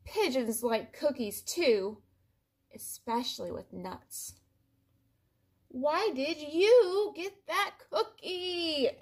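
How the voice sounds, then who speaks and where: A woman voicing an indignant, dramatic male pigeon from a picture book, complaining in an exasperated, drawn-out, emphatic way. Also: high-pitched, animated, pitched above the performer's own voice, full character voice.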